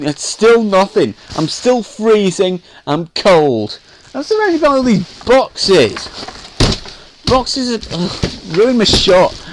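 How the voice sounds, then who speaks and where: A man's voice: sits high, slight background noise, poor audio. A man playing a grumpy, put-upon correspondent in a comedy sketch, whining and complaining. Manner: whiny, sighing, complaining. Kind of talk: comedy sketch piece to camera.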